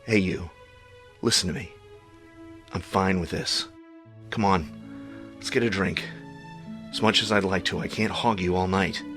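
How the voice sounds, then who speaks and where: A man's voice: sits low, fairly even intonation, unhurried, long pauses, a bit bright, faint music behind. An actor playing a charming, doting man of about fifty in an audio drama, playful and affectionate. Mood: tender, reassuring.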